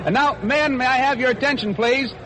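A man's voice: very high voice, brisk. A man working as a reporter, interviewing and announcing in a 1940s radio style on a noisy old broadcast. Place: aboard an aircraft carrier.

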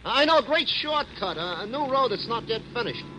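A man's voice: extremely high pitch, sing-song, a little fast. A man playing a chatty, eager city cab driver in a 1940s radio drama. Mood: eager, griping. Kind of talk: 1940s radio comic bit acting. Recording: noisy old radio, car sounds.

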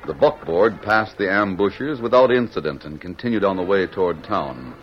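A man's voice: deep voice, expressive, moderate pace, noisy background. A man narrating an old-time radio western in a formal, dramatic storytelling manner. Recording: muffled vintage radio, music underneath.

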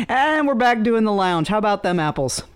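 A woman hosting a phone-in quiz in a casual, chatty, bantering way, quick to laugh. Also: mid-range voice, smooth. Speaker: radio show host running a phone-in quiz.

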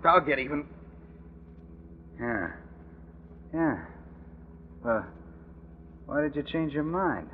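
An actor playing a sly, vengeful hired killer in a 1940s radio drama. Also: male voice, fairly high pitch, animated, slow, pauses often, narrow, tinny audio. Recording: thin, noisy old radio recording.

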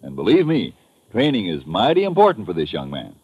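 A man's voice: fairly low pitch, huge pitch swings, breathy edge. Swooping American radio adman. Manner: emphatic, folksy, drawn-out selling. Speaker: commercial announcer. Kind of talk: 1950s radio advertising pitch. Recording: muffled, echoey old radio recording.

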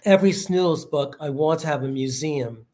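A man's voice: a little high, animated, moderate pace, a little mellow. A man from the United States, an author guest, talking casually with plenty of restarts. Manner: rambling, many fillers and restarts. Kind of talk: casual interview chat.

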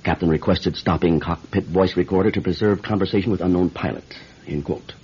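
A skeptical American airline manager in an old radio drama. He is measured and businesslike, with a very low, husky male voice, on a muffled vintage recording. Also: fairly even intonation, darkish tone. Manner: matter-of-fact, questioning, businesslike. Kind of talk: classic radio drama acting.

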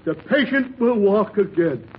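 High-voiced bumbling radio surgeon. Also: male voice, animated, measured pace, slightly rough, full character voice. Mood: wisecracking. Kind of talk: old-time radio comedy acting. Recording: muffled, very noisy vintage radio recording.

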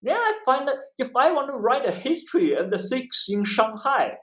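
High, lively Chinese-accented male academic. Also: normal speed. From eastern China. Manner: repeats phrases, often tags statements with "right?". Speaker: interview guest, history professor and author. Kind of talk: conversational explanation in an interview.

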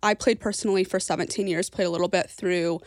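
A woman's voice: lowish voice, fairly even intonation, fast, no room sound, clean studio sound. A woman guest on a podcast talking casually, with many "like" fillers and restarts. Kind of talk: casual interview chat.

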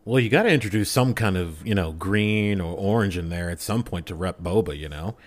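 A man's voice: sits low, brisk. A man co-hosting a podcast, chatting casually in a joking, teasing way. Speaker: podcast co-host. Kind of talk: casual banter.

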